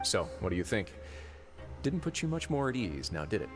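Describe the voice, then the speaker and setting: A man voicing a composed, courteous and shrewd duke in a video game, measured, wry and authoritative. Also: lowish voice, very animated, over music. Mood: friendly, wry.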